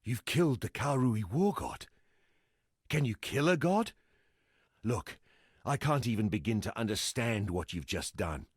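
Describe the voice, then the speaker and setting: Deep, husky, world-weary old smuggler. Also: male voice, dry recording, clean studio sound. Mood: grateful, gruff. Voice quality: gravelly, husky. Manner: blunt, rough-spoken, salty cursing. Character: gruff, world-weary male ex-smuggler survivor, blunt and grim. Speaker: game non-player character. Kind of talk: scripted video game dialogue.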